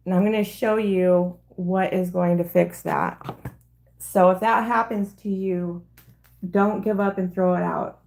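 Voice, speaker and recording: Slow, gravelly middle-aged woman. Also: lowish voice, fairly even intonation, a little mellow. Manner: chatty, rambling, talks through each step. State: slightly hoarse. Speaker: crafter hosting a live stream. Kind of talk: casual live craft demonstration.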